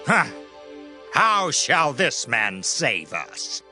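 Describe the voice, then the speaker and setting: A man playing a cruel, taunting warlord in a dramatized scripture audio drama, with a drawn-out theatrical delivery. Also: medium pitch, sing-song, pushed and bright, faint music behind, heavily acted. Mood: scornful. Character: menacing, scornful male warlord, cruel and taunting.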